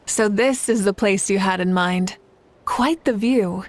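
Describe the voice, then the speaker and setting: Female leader for a video game voiced by a woman, assured and composed, medium pitch, caring. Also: natural voice. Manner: measured, assured.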